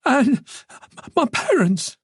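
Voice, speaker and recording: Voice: high-pitched, sing-song, slow pace, no room sound, studio quality, pitched above the performer's own voice, heavily acted. A narrator voicing a distraught, fiery policewoman who pleads urgently and breaks off mid-sentence in an audiobook. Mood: panicked, pleading.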